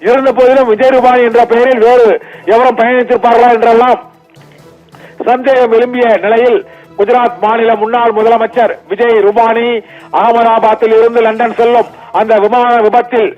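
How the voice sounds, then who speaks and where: Male news presenter, high-pitched, improvising live bulletins with repeats, muffled broadcast sound. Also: level tone, moderate pace. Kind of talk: live breaking news reporting. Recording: dull lo-fi broadcast with music behind. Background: background music.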